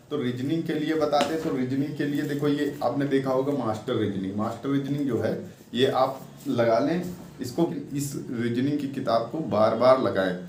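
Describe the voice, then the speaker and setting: A man's voice: medium pitch, a little mellow. Man giving exam advice in echoey room. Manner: repetitive, instructional, frequently checks in with a tag word. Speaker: exam coaching tutor and channel host. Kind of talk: informal exam-preparation advice talk. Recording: echoey room with faint background noise.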